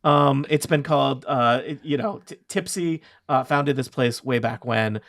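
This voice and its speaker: A man's voice: medium pitch, unhurried, fairly bright tone, no room sound, clean studio sound. A man voicing a friendly young female tavern bartender in a tabletop game. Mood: chatty.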